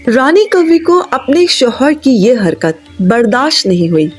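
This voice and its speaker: High-pitched, lively woman narrating children's stories. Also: unhurried, slightly rough. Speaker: story narrator. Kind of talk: scripted children's moral-story narration. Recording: music bed under the voice. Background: background music.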